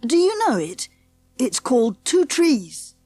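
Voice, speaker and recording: A woman's voice: high-pitched, big pitch swings, slow pace, mellow, husky, no room sound, faint music behind, exaggerated voice. A woman voicing a confident, polite schoolboy who leads his friends in a children's audiobook. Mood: keen, thoughtful. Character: confident, polite schoolboy leader, eager and decisive. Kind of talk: children's audiobook character acting.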